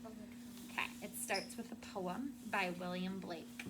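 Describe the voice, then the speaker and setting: A woman's voice: medium pitch, steady tone, slow, noisy background. A woman schoolteacher reading aloud and talking to children in a classroom, explaining in simple words and checking in with questions.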